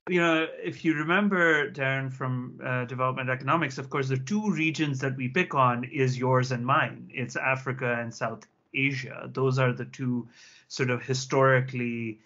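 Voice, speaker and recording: A man's voice: medium pitch, steady tone, moderate pace, pushed and bright. A man, an economics lecturer, speaking candidly with many fillers in a panel discussion on a video call. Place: small room indoors. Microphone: video call with earphone mic. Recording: slightly dull video-call audio.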